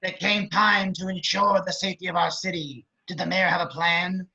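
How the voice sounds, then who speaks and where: A man playing a grotesque, grandiose crime boss posturing as a politician in a script table read, with theatrical bitter speeches and a raspy, gravelly voice. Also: high voice, steady tone, moderate pace, pushed and bright, full character voice. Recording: dull lo-fi call audio.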